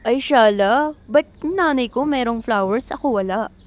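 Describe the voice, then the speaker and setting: Woman playing a bubbly young Filipino daughter, highish voice. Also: a little slow, lightly acted. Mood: playful. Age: young adult. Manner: casual, mixes in English. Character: bubbly, anxious young daughter introducing her boyfriend. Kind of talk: amateur radio drama acting.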